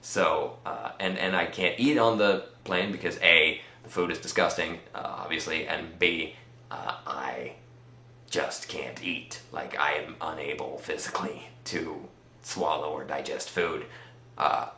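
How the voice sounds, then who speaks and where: A man with a Swedish accent telling a personal story in a casual, rambling vlog style, halting and pausing often as he goes. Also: very deep voice, steady tone, sharp tone, reverberant, slight background noise. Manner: halting, conversational delivery with many pauses. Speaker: vlogger.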